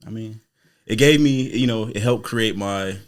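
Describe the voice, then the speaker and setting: A man from South Georgia who co-hosts a podcast, talking in quick, rambling casual chat and laughing easily. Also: fairly low pitch. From South Georgia, United States. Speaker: podcast co-host, cyber analyst.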